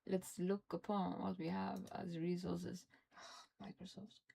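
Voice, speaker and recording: Norwegian girl streaming, slow and gravelly, swearing and muttering at her computer. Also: on the low side, little pitch movement, mellow, a little hiss or hum. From Norway. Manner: frustrated, swearing, muttering under her breath. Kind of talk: casual livestream chat while fiddling with software.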